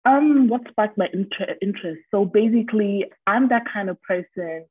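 A woman in her twenties from Germany talks as a podcast guest over a thin, phone-like remote line. Her voice sits a little low and sounds husky. The sound is dry, and she chats openly, often pausing with ums and laughing easily. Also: no room sound. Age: 20s. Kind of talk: casual interview chat.

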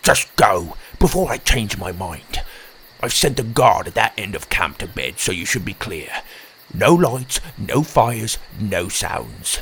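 Man voicing a croaky, resigned dark fairy warrior. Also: on the low side, big pitch swings, slow pace, slight background noise, full character voice. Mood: stern, hushed. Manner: quiet, curt, commanding. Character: gruff conflicted male dark fairy warrior, slimy, resigned. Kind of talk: fantasy storybook character voice.

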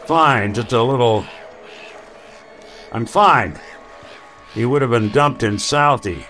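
A wry, streetwise private eye in a noir radio play. His voice is mid-pitched and world-weary, with faint ambience behind it. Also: slow pace, fairly bright tone, slight background noise. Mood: grim. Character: dry, world-weary noir robot detective man. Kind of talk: noir radio drama acting.

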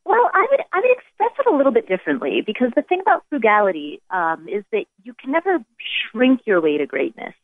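A woman's voice: fairly high pitch, wildly swooping pitch, fast, hardly any pauses, no echo. An American woman giving conversational, explanatory answers as a podcast guest, heard over a phone-like connection. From United States. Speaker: personal finance expert, podcast guest. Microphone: remote phone-like connection. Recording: dry, phone-like band-limited call.

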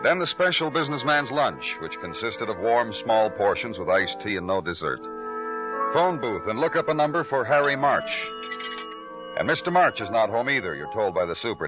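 A man's voice: mid-range voice, lightly acted. Casting: man to play a world-weary radio cop, blunt and poetic, with a widely swinging delivery over dramatic music. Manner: terse, brooding, poetic narration and blunt questioning. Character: world-weary male big-city police detective narrating his case. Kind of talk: 1950s radio crime drama acting. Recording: dull old radio recording with music.

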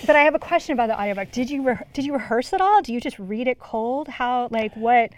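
Female interviewer, fairly high voice, casual, informal, thinking-aloud questions, dry recording. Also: fast, no echo.

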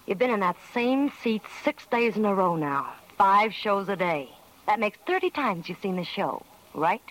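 An actress playing a tough, defiant carnival dancer in an old-time radio crime drama, snappish and slangy. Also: female voice, medium pitch, very animated, moderate pace, natural voice. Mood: suspicious, curt. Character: hard-boiled, defiant female carnival show dancer under suspicion. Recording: noisy vintage radio recording.

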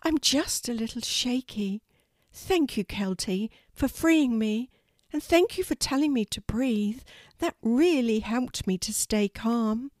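A small, frightened female raven from a children's story, voiced by a woman reader. The voice sits very high and pleads plaintively, swinging up and down in a close, dry sound. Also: lively intonation, takes it slowly, a little mellow, dry recording. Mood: shaky, grateful.

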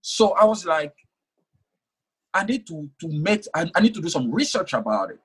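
A man's voice: on the high side, big pitch swings, normal speed, fairly bright tone, slightly rough. A young man whose first language is French, speaking earnestly as an author in an interview and often repeating words while he thinks. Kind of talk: conversational interview.

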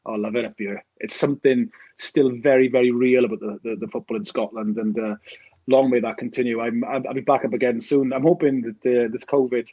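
A husky-voiced Welsh man in his forties talks fast in a rambling way, full of fillers and restarts. His voice is dry and close, over a dull, lo-fi remote call line. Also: medium pitch, steady tone, no echo. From Wales. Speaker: documentary director. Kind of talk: casual podcast interview.

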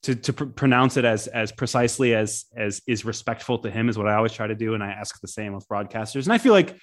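Man chatting casually about soccer. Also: on the low side. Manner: conversational, many restarts and soft laughs. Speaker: soccer podcast co-host. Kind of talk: casual sports talk.

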